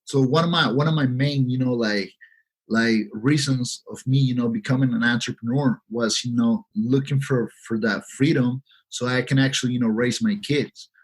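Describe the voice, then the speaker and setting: A man's voice: mid-range voice, fairly even intonation, normal speed, slightly rough, rough recording. A man in his thirties from Peru, a podcast guest telling his story in a rambling, earnest way with many fillers. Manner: rambling, heavy "you know" fillers, earnest. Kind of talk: casual interview talk.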